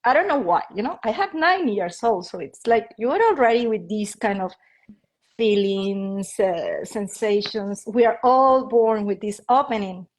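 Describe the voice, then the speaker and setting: A woman's voice: medium pitch, expressive, a little slow. Chatty Colombian woman in her fifties. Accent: Colombian Spanish. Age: 50s. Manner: rambling, with frequent "like" fillers and light laughs. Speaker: interview guest, feng shui and Reiki practitioner. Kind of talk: casual interview storytelling.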